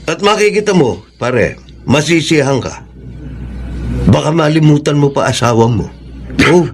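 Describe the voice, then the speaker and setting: Lively, jovial Filipino buddy. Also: male voice, fairly high pitch, moderate pace, slight background noise. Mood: jovial, coaxing. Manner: joking, persuasive. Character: jovial, teasing buddy, a worker abroad tempting his friend. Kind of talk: Filipino radio drama acting.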